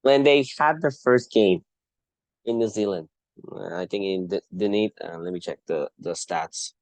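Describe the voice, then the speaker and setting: Guy hosting his own sports show, lowish voice, dry close sound, keeps restarting mid sentence. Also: no echo, rough recording. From Philippines. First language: Tagalog. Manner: earnest and halting, often restarting phrases mid-thought. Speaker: podcast host. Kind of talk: solo sports commentary.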